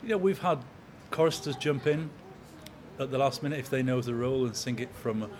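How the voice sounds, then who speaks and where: Guy in his 60s chatting about his job, steady and calm, lots of people talking in the background. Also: medium pitch, brisk, a crowd in the background. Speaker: opera company manager. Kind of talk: interview.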